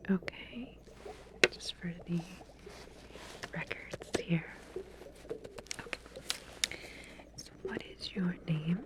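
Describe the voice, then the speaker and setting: Slow, whispering woman in ASMR roleplay. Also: fairly low pitch. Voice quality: breathy, unvoiced whisper. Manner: gentle, unhurried, halting explanations with pauses. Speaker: ASMR roleplay performer playing a lab medical researcher.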